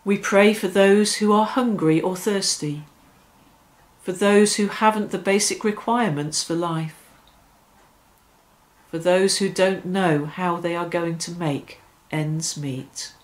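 A middle-aged woman leading evening worship in a measured, reverent way, with deliberate phrasing, speaking indoors. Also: on the low side, poor audio. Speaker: church worship leader. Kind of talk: devotional reflection and led prayer. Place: small furnished room indoors.

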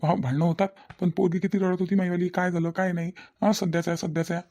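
A woman plays an aggrieved village farmer and father in a comedy cartoon. He speaks very fast in a low, mellow, fairly flat voice with a Varhadi Marathi accent, pressing his questions again and again. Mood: worried, questioning. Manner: insistent, repetitive questioning. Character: worried middle-aged village father, farmer, protective and aggrieved.

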